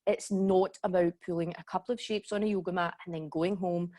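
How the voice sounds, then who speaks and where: A woman's voice: fairly low pitch, fairly even intonation, a little fast, dark tone. A woman in her forties with a Glaswegian accent, hosting a live online yoga workshop in a chatty way that keeps checking in with her listeners. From Scotland. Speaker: yoga teacher and workshop host. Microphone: computer mic on a video call.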